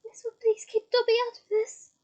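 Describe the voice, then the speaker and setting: A woman voicing an eager, anxious male house-elf who is fiercely loyal, in a squeaky, earnest voice. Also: monotone, fairly quick, a little mellow. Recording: slightly dull lo-fi recording.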